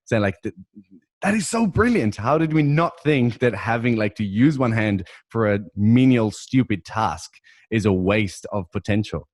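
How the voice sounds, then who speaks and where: A man's voice: lowish voice, lively intonation, normal speed, dry recording. A man whose first language is Italian hosts a casual podcast chat over a video call, enthusiastic and laughing often. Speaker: podcast host.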